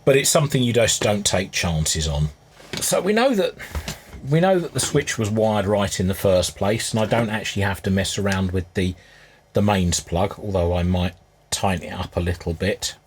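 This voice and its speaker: A man with a husky voice giving casual, unscripted hands-on repair commentary, musing aloud as he works. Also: lowish voice, a little hiss or hum. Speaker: hobbyist restorer presenting his repair.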